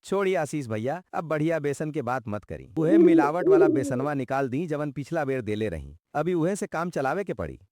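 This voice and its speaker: A greedy, sneering cartoon shopkeeper, played by a man, taunting and brash in a Bhojpuri accent. The voice is high and lively, recorded close and dry. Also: normal speed, few pauses, dry recording. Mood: brazen. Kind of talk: animated moral story dialogue.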